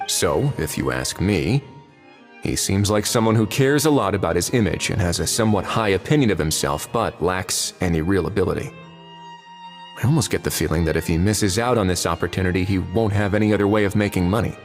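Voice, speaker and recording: An actor playing a calm, authoritative male warden in a video game, speaking in a cool, measured, dryly commanding way. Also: sits low, soft background music. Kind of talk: video game cutscene dialogue.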